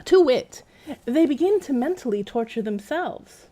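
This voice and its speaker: A woman streaming a video game, commenting and reading in-game text aloud in a wry, chatty, expressive way, with game music behind her. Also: high voice, a little slow.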